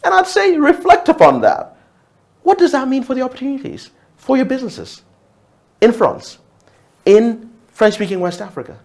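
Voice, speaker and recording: A man's voice: very high-pitched, big pitch swings, moderate pace, gravelly, darkish tone. A man from Uganda whose first language is Luo, speaking reflectively as a TV talk show guest, often restarting and repeating words.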